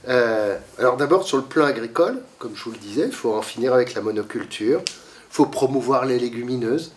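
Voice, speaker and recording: A man's voice: mid-range voice, a little fast, recorded from a distance, a little hiss or hum. A man with a cold giving a talk to a library audience, lecturing with lots of fillers and restarts, coughing now and then. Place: library meeting room.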